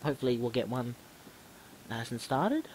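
A man's voice: mid-range voice, very animated, normal speed, a little mellow, a little hiss or hum, poor audio. A man with a stuffy, snuffly voice from a cold gives casual commentary on his own video game match. He often rambles and stops to correct himself. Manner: rambling, self-correcting, restarts words.

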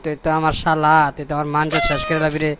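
A man's voice: a little high, monotone, racing, a bit bright, slight background noise, lightly acted. A man voicing a scheming, self-important corrupt boss in a comic cartoon, pompous and sly, with colloquial Bangladeshi speech. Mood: scheming aside. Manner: pompous, mock-formal, sly.

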